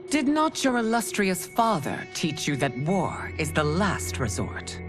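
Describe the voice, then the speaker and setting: A stern, regal empress with sweeping delivery. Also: female voice, lowish voice, very animated, over music. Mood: stern, commanding. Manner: formal, commanding, measured. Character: stern, regal middle-aged empress and mother. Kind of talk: dramatic video game cutscene acting.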